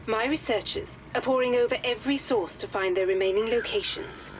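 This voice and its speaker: An actress playing a composed, businesslike woman who leads adventurers in a video game, with a brisk, formal manner. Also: fairly high pitch, a little hiss or hum, close to an everyday voice, poor audio. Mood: brisk, resolute. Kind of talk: video game cutscene acting.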